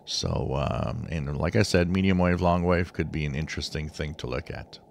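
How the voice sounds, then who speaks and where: Deep, creaky-voiced man explaining informally. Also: normal speed, slight background noise. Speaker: radio hobby channel host. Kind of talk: informal explanatory commentary over a screen share.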